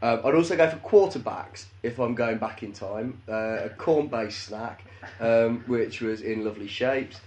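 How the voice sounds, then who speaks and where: Brief: thirties man, comedy podcast co-host, deadpan and crude. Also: mid-range voice, a little slow, few pauses. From English Midlands. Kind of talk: casual comedic chat.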